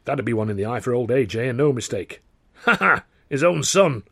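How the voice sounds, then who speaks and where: A man's voice: mid-range voice, fast, a little mellow, dry recording, studio quality, lightly acted. A man voicing a middle-aged male theatre impresario who is jovial but touchy, hesitant then snapping.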